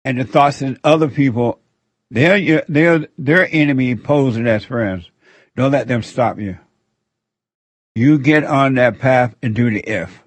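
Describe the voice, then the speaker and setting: A man's voice: medium pitch, dry recording. A man hosting a call-in show, talking in a preachy, repetitive way and taking callers. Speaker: radio call-in show host.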